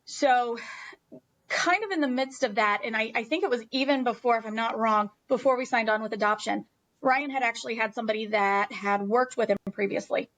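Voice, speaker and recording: A woman's voice: on the high side, fairly quick, fairly bright tone, rough recording. An American woman telling a personal story as an interview guest in a candid, emphatic, conversational way.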